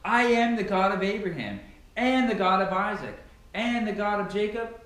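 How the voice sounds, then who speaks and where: A man reads scripture aloud in a very echoey, reverberant room. His voice sits fairly high and swings widely in pitch, with a solemn, declamatory delivery. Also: lively intonation, moderate pace, fairly bright tone. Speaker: amateur Bible reader. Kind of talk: dramatic scripture reading.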